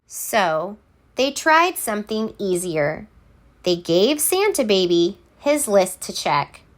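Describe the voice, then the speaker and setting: A woman reading a children's story aloud in an expressive, theatrical way, landing deliberately on key words. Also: mid-range voice. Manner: theatrical, deliberate, emphatic word by word. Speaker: storybook reader.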